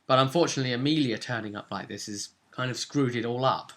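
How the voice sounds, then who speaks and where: A man's voice: medium pitch, fast, a bit bright. A man casually recapping a tabletop role-playing session as game master, chatty and often ending on tag questions.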